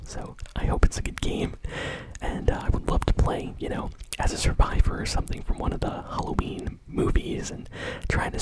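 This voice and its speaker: A woman hosting gaming news in ASMR style, whispering in a casual, conversational manner. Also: rough recording. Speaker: host of a gaming news show.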